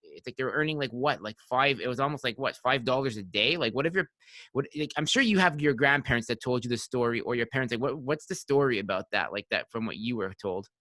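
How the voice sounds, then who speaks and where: A man of about thirty hosts a podcast, rambling through his questions with constant likes and restarts. His voice is bright and forceful, and the sound is close and dry, with no room echo. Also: mid-range voice, fast talker. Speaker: podcast host. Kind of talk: casual interview chat.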